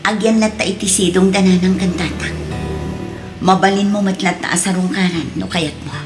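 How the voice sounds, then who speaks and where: A woman's voice: on the low side, steady tone, moderate pace, lightly acted. A woman with a Filipino accent playing a hurt but resolute young mother in an Ilocano radio drama. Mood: sad, resolute. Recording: music behind the voice.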